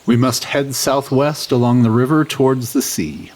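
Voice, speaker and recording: Animated, earnest male adventurer played by an actor. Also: medium pitch, normal speed, a little hiss or hum, natural voice. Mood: earnest, informative. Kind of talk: fantasy audio drama acting.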